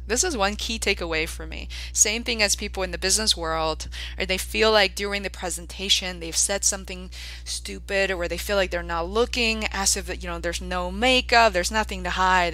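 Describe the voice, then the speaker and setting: A woman hosts a podcast interview in a bright, mid-pitched voice. She is talkative, asks lively follow-up questions and shares her own thoughts at length. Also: poor audio. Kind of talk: casual interview chat.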